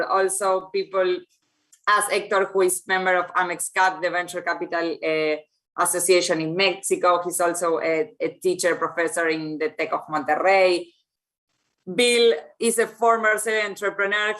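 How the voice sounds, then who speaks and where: Brief: woman, moderating a panel, halting and filler-heavy. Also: mid-range voice, fairly bright tone. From Argentina. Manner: hesitant, searching for words, many fillers.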